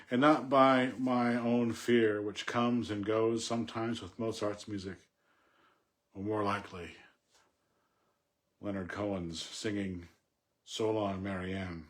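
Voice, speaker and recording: Low-pitched man talking about poetry. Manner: halting, thinking aloud with self-corrections. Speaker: poetry reader and commentator. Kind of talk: poem recitation and informal unscripted commentary.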